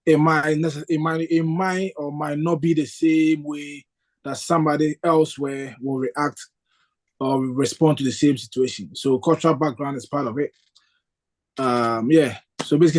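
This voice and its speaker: A man's voice: fairly high pitch, moderate pace, a little mellow. A man from Ghana taking part in a spiritual discussion over a video call, thoughtful and hesitant, with frequent fillers. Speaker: discussion participant.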